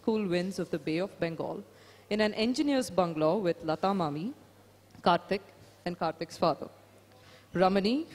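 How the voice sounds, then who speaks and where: Measured reader in a reverberant hall. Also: medium pitch, a little mellow. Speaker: reader at a book reading. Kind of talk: reading fiction aloud. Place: event hall.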